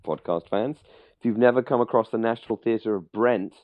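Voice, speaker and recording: A man's voice: on the low side, brisk, dry recording, narrow, tinny audio. A middle-aged man with an English accent co-hosting a podcast, chatting casually and informally about a radio drama, often searching for words. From England. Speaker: podcast co-host.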